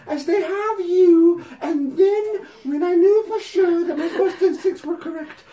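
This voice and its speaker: A woman's voice: very high voice, mellow. A woman playing an earnest, apologetic, gushing letter-writer in a theatrical, mock-dramatic reading, recorded in an echoey room. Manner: theatrical, overwrought.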